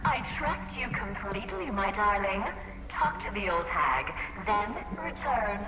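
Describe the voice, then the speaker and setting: A woman's voice: mid-range voice, expressive, a little slow, lightly acted. A woman playing an obsessive, seductive female robot in a video game, cooing pet names with a menacing clinginess. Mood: teasing, possessive. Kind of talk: video game character acting. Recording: echoey reverberant hall, music behind.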